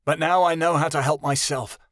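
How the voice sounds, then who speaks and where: A man's voice: fairly high pitch, steady tone, fairly quick, fairly bright tone, no room sound, studio quality, voice pushed up, lightly acted. A man voicing a brooding young man who turns away firmly.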